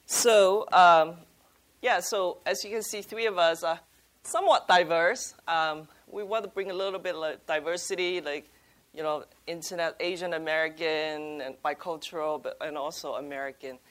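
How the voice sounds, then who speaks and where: Woman, born in China, ministry leader; lowish voice, hesitant but cheerful delivery. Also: fairly even intonation. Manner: halting, many fillers, upbeat. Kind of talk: workshop introduction.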